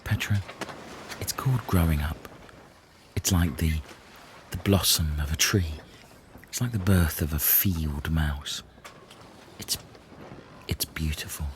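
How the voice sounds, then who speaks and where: A man playing a solemn, pretentiously poetic castaway in comedy audio, with breathy, drawn-out, mock-serious delivery. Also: rumbling low, a little hiss or hum. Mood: mock tender. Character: slow, solemn, pretentiously poetic male castaway scolding his friend. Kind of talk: improvised comedy audio play.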